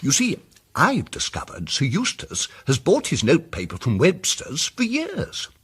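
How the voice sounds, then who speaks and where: A man acting a timid, apologetic but persistent English gentleman amateur sleuth in a radio mystery drama, speaking politely with hesitant, stammering starts. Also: fairly high pitch, huge pitch swings, a little slow, husky, full character voice. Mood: earnest, diffident.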